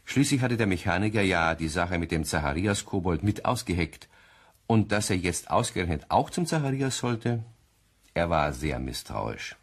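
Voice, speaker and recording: A man's voice: sits low, lively intonation, moderate pace, slightly rough. A man narrating a scripted German radio play in a wry storytelling manner. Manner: wry storytelling with rhetorical questions.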